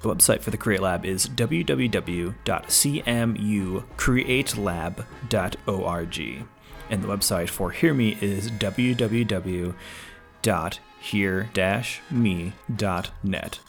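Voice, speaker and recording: A man with a fairly low voice narrates a scripted podcast segment. He reads in a measured, deliberate way, with faint music playing behind him. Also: gravelly. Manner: measured, spelling out web addresses slowly. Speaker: podcast host.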